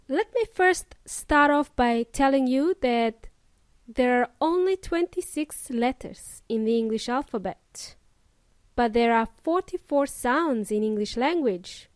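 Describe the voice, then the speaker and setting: Upbeat woman podcast host, Eastern European accent with Kiwi traces, bright high voice, dry close recording. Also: no echo. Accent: Eastern European with New Zealand and Australian traces. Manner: upbeat, addresses listeners directly with Spanish asides. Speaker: English teacher podcast host. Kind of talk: friendly podcast lesson.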